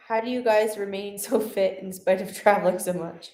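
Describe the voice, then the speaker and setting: A young woman chats casually on a travel livestream, reading viewer questions and laughing easily. Her voice sits at a middle pitch. The room around her is echoey. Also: fairly even intonation, moderate pace, darkish tone. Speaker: travel vlogger co-host. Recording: roomy echo.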